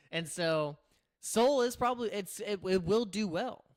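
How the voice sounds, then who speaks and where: A man with a naturally raspy voice hosting a casual livestream, talking loosely with lots of restarts and filler words into a streaming microphone. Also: high-pitched. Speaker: crypto livestream host. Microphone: streaming microphone through streaming software.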